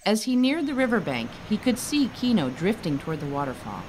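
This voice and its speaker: A woman author reading her children's book aloud in an expressive storytelling style. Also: medium pitch, brisk, a little hiss or hum, poor audio.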